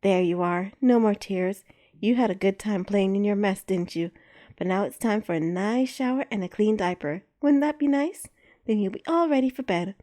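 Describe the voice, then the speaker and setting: A woman voicing a kind, motherly grown woman who speaks in a soothing, gently firm way. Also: mid-range voice, normal speed, lightly acted.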